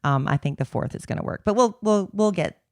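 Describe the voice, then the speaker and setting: A woman's voice: medium pitch, animated, a little fast, a little mellow. A middle-aged woman, co-hosting a podcast in a chatty, rambling way. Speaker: podcast co-host. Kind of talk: casual chat.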